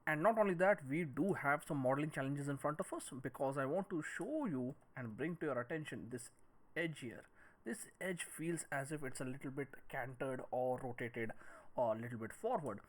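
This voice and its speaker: A man leads a hands-on 3D modelling tutorial, talking through each step as he works. His pitch is medium and moves up and down a lot, and he speaks in short, broken-up phrases. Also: poor audio. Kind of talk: step-by-step screen-recorded software tutorial, thinking aloud.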